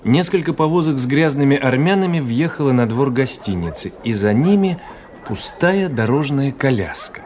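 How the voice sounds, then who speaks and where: An actor playing a cultured gentleman traveler, giving measured, literary narration and dialogue in a radio play. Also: mid-range voice, lively intonation, a little hiss or hum, close to an everyday voice. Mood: wry, observant. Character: cultured young gentleman officer, traveling writer telling his story.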